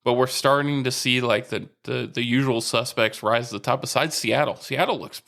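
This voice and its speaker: A man's voice: medium pitch, normal speed, gravelly, a bit bright. A man co-hosting a casual podcast, talking sports in an easygoing, teasing way. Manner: easygoing, teasing, uses fillers. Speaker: podcast co-host.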